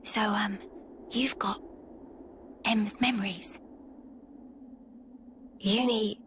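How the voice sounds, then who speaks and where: A woman's voice: medium pitch, fairly even intonation, very slow, a bit bright, slight background noise. A British woman playing a brash, nosy young soldier in a video game, with blunt, pushy, teasing questioning. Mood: conspiratorial. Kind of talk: video game cutscene acting.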